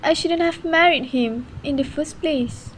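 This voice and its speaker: Embittered young mother, played by a woman, high voice, amateur radio drama, faint background noise. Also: measured pace, poor audio. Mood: weary, bitter. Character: weary, hurt young mother and embittered wife.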